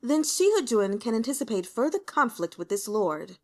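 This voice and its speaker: Lady doing a snide spiteful lord in a fantasy audiobook, drawly, mocking, pitch swooping around. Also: very animated, moderate pace. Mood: sly derision. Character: sly, sneering aristocratic lord, male, spiteful troll.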